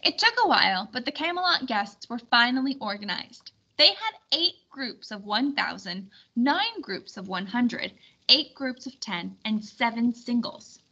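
A woman reading a children's storybook aloud in an expressive, clearly instructional way. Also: fairly high pitch, a little slow, dull audio. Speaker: storybook reader.

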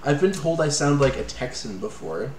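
A young man from Canada, co-hosting a live game stream in casual chat, rambling and joking with quick asides. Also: fairly high pitch, lively intonation, darkish tone, echoey room, poor audio. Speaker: let's play co-host. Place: small room at home.

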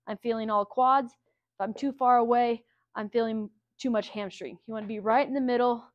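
Higher-voiced woman, upbeat coaching, dry sound, demonstrating lifts in a gym. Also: dry recording, highs cut off. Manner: upbeat, instructive, checks in with "okay?". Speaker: fitness coach. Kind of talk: exercise tutorial. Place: indoor gym.

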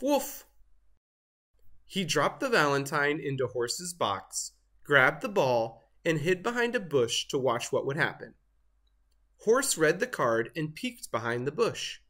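A storybook narrator reading aloud expressively for children, with deliberate theatrical emphasis. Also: medium pitch, very animated, fairly bright tone.

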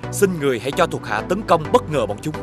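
An actor playing a brave, loyal young warrior in an animated folk tale, earnest and resolute in his delivery. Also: a little high, fast talker, over music.

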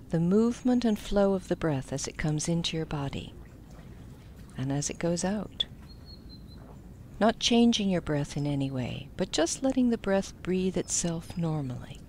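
A woman leading a guided meditation as an instructor, speaking gently with soft phrase endings. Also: lowish voice, expressive, unhurried, long pauses, a little hiss or hum.

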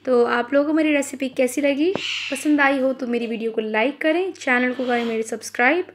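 A woman's voice: high voice, brisk, slight background noise. A woman hosting a home cooking channel, talking viewers through a recipe step by step and speaking to them directly. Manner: explains each step, addresses viewers directly.

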